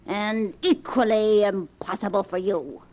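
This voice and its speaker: A woman's voice: mid-range voice, a little slow, darkish tone, lightly acted. An actress playing a scheming, mocking old grandmother in a 1940s radio mystery, speaking in a knowing, sardonic way. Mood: cold, deliberate.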